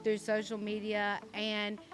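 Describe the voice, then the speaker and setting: A woman's voice: mid-range voice, completely flat delivery, a little slow, faint music behind. A woman from South Carolina with a Southern country accent, telling her story in a chatty interview style and filling her talk with "like". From South Carolina, USA. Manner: chatty storytelling, heavy use of "like". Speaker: guest, influencer. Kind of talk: casual interview chat.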